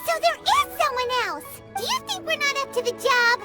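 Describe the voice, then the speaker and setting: A woman voicing a tiny, excitable companion girl in a game, with a squeaky childlike voice and a blunt, boastful manner. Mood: excited. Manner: blunt, quick to boast and scold. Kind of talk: game voice acting. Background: loud game music.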